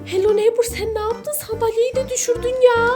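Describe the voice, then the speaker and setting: A woman's voice: very high-pitched, steady tone, a little fast, over music. A woman playing a mother in a children's skit video, instructing, exclaiming and chiding as she goes. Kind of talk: play-acted family skit with child.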